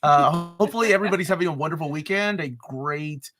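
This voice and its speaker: A man's voice: a little high, fast, a bit bright. A man co-hosting a live comics talk stream, chatting casually, joking and rambling. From United States. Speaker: co-host of a comics talk show.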